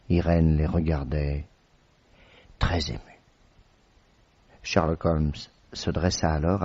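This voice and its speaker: Radio drama, loyal narrator-companion: lowish, husky, vivid, easily exasperated; muffled vintage sound. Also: male voice, dark tone, no room sound, full character voice. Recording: dull old radio recording, crowd murmur behind.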